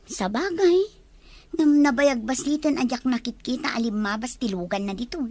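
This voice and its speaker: A woman's voice: sits high, normal speed, mellow. A woman acting a nosy, blunt auntie in an audio drama. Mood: pointed, concerned. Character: nosy, blunt older female relative, an auntie.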